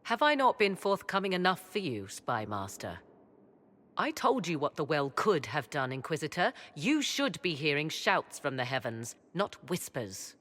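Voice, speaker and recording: A woman's voice: low voice, very animated, moderate pace, a bit bright. A woman playing a proud, enigmatic sorceress in a fantasy video game, speaking with arch, sardonic formality. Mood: reproachful.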